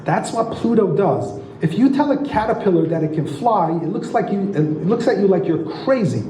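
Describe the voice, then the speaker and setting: A man with a high, mellow voice teaches a lecture and keeps tossing rhetorical questions at the audience. His tone is warm rather than sharp, and the room around him rings with a noticeable echo. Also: animated, moderate pace, a little hiss or hum. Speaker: astrology lecturer and author. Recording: roomy echo.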